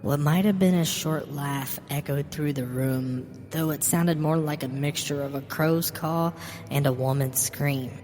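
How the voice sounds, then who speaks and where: A woman's voice: low-pitched, unhurried, darkish tone, slight background noise. A woman reading a scripted first-person horror story as its narrator. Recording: long reverb with music behind. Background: music.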